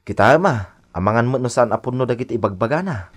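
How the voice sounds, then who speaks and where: A man's voice: medium pitch, animated, quick pace, natural voice. A man playing a teasing, skeptical best buddy with exaggerated intonation in an Ilocano radio drama.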